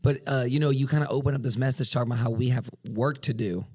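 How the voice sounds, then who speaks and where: A man co-hosting a church podcast, relaxed and joking, his voice a little raspy today. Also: medium pitch, fast. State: slightly raspy voice. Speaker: podcast co-host. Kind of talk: casual podcast chat.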